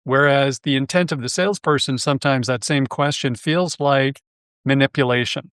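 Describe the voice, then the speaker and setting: A man's voice: medium pitch, fairly even intonation, normal speed, a bit bright, clean tone, no room sound, studio quality. A Canadian man hosting a podcast interview, speaking in a friendly, enthusiastic way and often restarting his phrases. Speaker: podcast host. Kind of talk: conversational interview.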